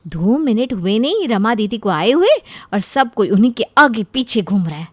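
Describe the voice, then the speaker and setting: Sharp-tongued jealous young housewife, acted by a woman. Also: on the high side, very animated, moderate pace, dry recording. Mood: resentful. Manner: scolding, sarcastic. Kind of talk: Hindi animated moral story acting.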